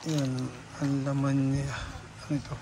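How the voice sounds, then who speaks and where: A man narrating a casual hands-on unboxing vlog, halting and thinking aloud as he goes. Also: medium pitch, completely flat delivery, noisy background. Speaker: vlogger.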